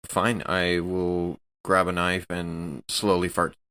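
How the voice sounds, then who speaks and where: Low-voiced man playing a tabletop game. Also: completely flat delivery, slow pace, bright, forceful tone, clean tone. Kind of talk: casual game-table talk.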